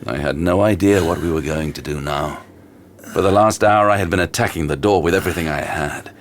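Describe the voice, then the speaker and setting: Deep-voiced, grim detective narrator. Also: male voice, a bit bright, slight background noise. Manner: terse, grave, suspenseful. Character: grim male detective recounting a horror case. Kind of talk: first-person dramatic narration.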